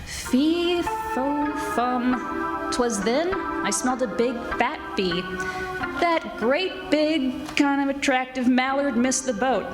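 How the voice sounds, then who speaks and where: A woman playing a wisecracking, brisk private detective in 1940s radio style, wry and snappy. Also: sits high, animated, loud music behind the voice, rough recording. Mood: wry narration.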